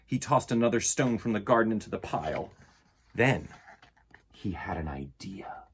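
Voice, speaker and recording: A middle-aged man with a husky voice, hosting a children's storytime in an expressive, theatrical way. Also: on the low side, normal speed, slight background noise. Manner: theatrical, varied delivery addressed to young listeners. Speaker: children's storytime host and reader. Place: indoor room lined with bookshelves.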